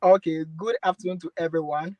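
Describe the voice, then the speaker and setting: A man's voice: a little high, fairly even intonation, a little fast, warm tone, dry recording. A young man, introducing himself earnestly as a radio show guest. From Ghana. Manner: earnest, with fillers.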